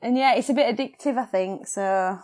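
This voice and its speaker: A woman's voice: fairly high pitch, brisk, a little mellow. A young woman with a Yorkshire accent, chatting casually as a podcast guest, laughing often and swearing freely. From England. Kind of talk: casual chat.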